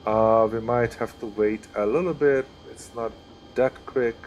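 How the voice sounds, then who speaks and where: A man's voice: mid-range voice, fairly even intonation, a little slow, a little mellow, slight background noise. A man with a Hungarian accent, streaming live and commenting casually as he thinks aloud through each step. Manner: chatty, thinks aloud while working through steps. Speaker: flight sim streamer.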